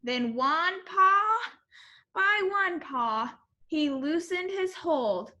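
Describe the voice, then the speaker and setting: A woman reading a picture book aloud in an animated, expressive way, as if to young children. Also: very high-pitched, drawn-out words, muffled recording. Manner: expressive, addressing young listeners.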